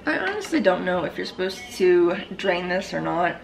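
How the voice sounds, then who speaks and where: A woman in her thirties chatting casually about what she's cooking in her home kitchen, relaxed and self-deprecating. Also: fairly low pitch, normal speed, vocal fry, music in the background, rough recording. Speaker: home vlogger. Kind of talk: casual voice-over chat while cooking.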